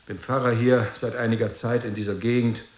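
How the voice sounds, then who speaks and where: An actor playing a weary, guarded pastor in a radio drama, curt and defensive, with hesitant moments. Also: male voice, mid-range voice, flat delivery, brisk, roomy sound, lightly acted. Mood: indignant. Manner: curt, brusque, questioning, hesitant at times.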